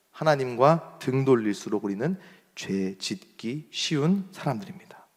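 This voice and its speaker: A young adult man preaching a sermon as pastor, emphatic, rising to strong points and dropping to soft asides. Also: mid-range voice. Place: church sanctuary. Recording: long hall reverb.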